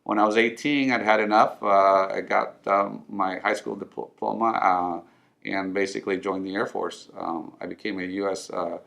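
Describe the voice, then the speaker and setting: A middle-aged man from Mexico, whose first language is Spanish, tells his own story in English. His voice sits very low and carries a gravelly edge. He speaks earnestly and often pauses on ums and restarts his sentences. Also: steady tone, fairly bright tone. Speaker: financial firm owner. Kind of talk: personal story told to camera.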